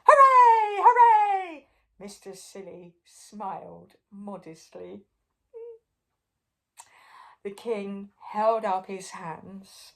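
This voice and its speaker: An older woman reading a children's story aloud expressively, pausing for drama and putting questions to the listener. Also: medium pitch, level tone, creaky. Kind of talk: animated read-aloud to young children.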